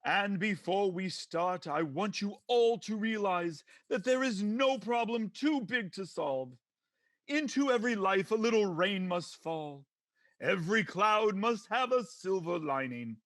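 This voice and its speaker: An actor playing a pompous, preachy judge in an old-time radio comedy, with theatrical delivery. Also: male voice, sits high, lively intonation, measured pace, fairly bright tone, dry recording, studio quality. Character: pompous male judge presiding over comic domestic court.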